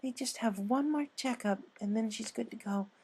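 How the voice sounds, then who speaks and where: Man doing a soft, high, timid pony girl. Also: normal speed, a little hiss or hum. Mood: hushed concern. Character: timid, gentle, soft-spoken female pegasus pony.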